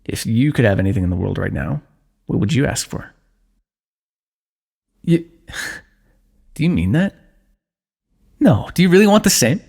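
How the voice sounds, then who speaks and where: Soft, gravelly man murmuring tenderly. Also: medium pitch, sing-song, measured pace, long pauses. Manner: soft, intimate and tender, with sighs and small laughs. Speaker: ASMR roleplay narrator. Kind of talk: fantasy romance ASMR roleplay.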